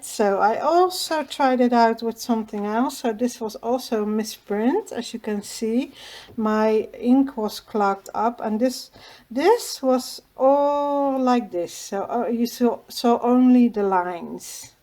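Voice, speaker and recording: Slow, mellow Dutch-accented woman. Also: a little high. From Netherlands. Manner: hesitant, many pauses and fillers, quick small laughs. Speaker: craft tutorial host. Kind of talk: casual crafting tutorial.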